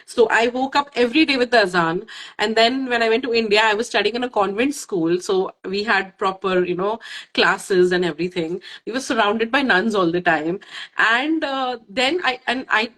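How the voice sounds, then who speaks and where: A woman's voice: mid-range voice, normal speed, a bit bright, poor audio. A woman who grew up in Kuwait, hosting a casual interview, enthusiastic and hesitant with frequent "uh" fillers.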